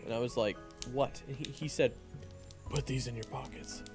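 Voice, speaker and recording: A man's voice: medium pitch, steady tone, moderate pace, lightly acted. A man playing a goofy, boastful young human adventurer who tells his own tale in an audio drama, theatrical and joking. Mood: flustered. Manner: theatrical, joking, conversational storytelling. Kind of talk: first-person audio drama narration and dialogue. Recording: echoey, reverberant, music underneath. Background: music.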